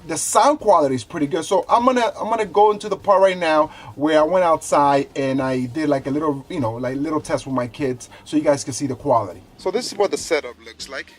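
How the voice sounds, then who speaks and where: A man with a voice a touch higher than average talks like a casual video reviewer. He explains things in an easygoing way, often repeating himself as he shows how something works. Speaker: gadget reviewer. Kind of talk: casual hands-on review chat.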